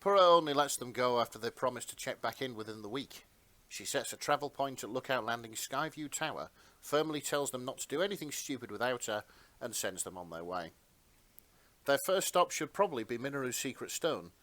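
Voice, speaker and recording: A man's voice: mid-range voice, lively intonation, brisk, a bit bright, no room sound. A man narrating fan fiction in a dramatic reading style.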